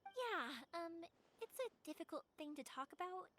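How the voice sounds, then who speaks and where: High, animated young fireworks maker. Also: female voice, unhurried, full character voice. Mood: earnest. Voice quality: youthful. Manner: expressive, casual. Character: spirited, kind young woman who makes fireworks. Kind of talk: video game voice acting.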